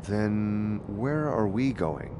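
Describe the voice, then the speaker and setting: An actor playing a skeptical, world-weary detective in a video game cutscene, speaking in a dry, sardonic way. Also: male voice, on the low side, animated, extremely slow, noisy background. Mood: wary, sardonic.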